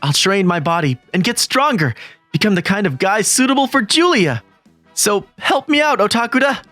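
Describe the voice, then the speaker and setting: An earnest, eager teen schoolboy, voiced by a man narrates a manga story. His pitch swings widely in a dry, close recording. Soft music runs under him. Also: expressive, moderate pace, no room sound. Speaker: narrator and lead. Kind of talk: manga voice-over acting.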